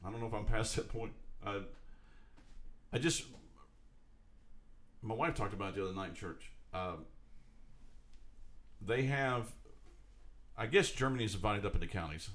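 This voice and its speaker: A man's voice: lowish voice, normal speed, pauses often, fairly bright tone. A man co-hosting a podcast, talking casually, rambling and joking. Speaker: podcast co-host. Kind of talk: casual chat.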